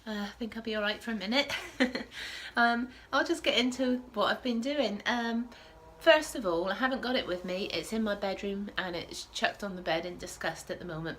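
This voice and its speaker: A woman's voice: mid-range voice, fairly bright tone, a little hiss or hum. A woman hosting a casual knitting podcast from home, sniffling with a starting cold and rambling with frequent self-corrections. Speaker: podcast host.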